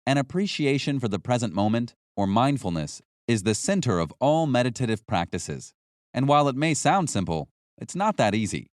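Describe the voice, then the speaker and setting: A man reading scripted nonfiction as an audiobook narrator, with a measured, instructional delivery. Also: mid-range voice, sing-song, no echo, clean studio sound.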